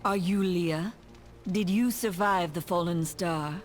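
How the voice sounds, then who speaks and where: An actress playing a stern, composed necromancer in a video game, speaking formally and with measured gravity. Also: female voice, fairly low pitch. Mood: solemn, resolute. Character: stern composed female necromancer come to aid the lands. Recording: dramatic game music behind.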